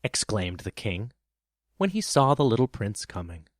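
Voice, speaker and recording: A man narrating a children's tale as a podcast storyteller, with expressive, measured and dramatic phrasing. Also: fairly low pitch, no room sound, clean studio sound.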